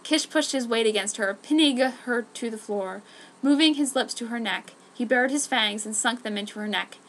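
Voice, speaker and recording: A woman's voice: fairly high pitch, normal speed, slight background noise. A woman giving a dramatic scripted reading as an amateur story narrator. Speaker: amateur fan fiction narrator.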